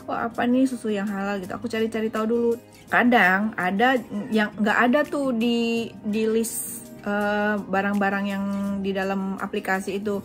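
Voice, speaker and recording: A woman's voice: medium pitch, fairly even intonation, normal speed, soft background music. A young woman from Indonesia, a vlogger chatting casually at home as she answers viewer questions, with frequent fillers. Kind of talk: casual chat. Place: home in Japan.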